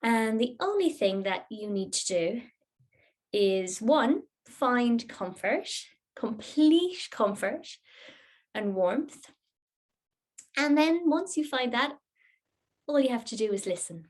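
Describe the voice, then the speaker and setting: A woman teaching a yoga nidra class, giving guided relaxation instructions in a gentle, unhurried, soothing manner. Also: on the high side, lively intonation.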